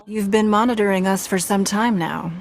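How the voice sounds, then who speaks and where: A woman's voice: mid-range voice, gravelly, close to an everyday voice. A woman voicing a composed, regal city ruler. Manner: measured, regal speeches. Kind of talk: English game voice acting.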